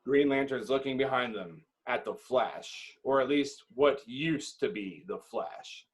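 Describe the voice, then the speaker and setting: A man's voice: mid-range voice, unhurried. A man reading screenplay stage directions as the dramatic narrator of a table read.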